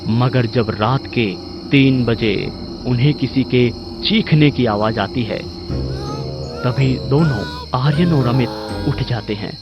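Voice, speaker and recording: Male storyteller, scripted horror narration, slow and measured, music bed underneath. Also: mid-range voice, dull audio.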